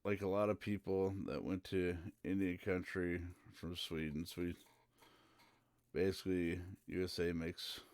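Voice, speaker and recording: A man's voice: low-pitched, little pitch movement, measured pace, a little mellow, smooth, no room sound. A man from central Minnesota, chatting casually and rambling on a live stream. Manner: rambling and easygoing, with frequent "uh" fillers.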